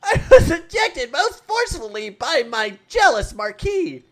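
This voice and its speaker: A man voicing a pompous, frantic male bard customer with a shouted, sing-song, melodramatic delivery. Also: very high voice, big pitch swings, a little slow, voice pushed up, heavily acted.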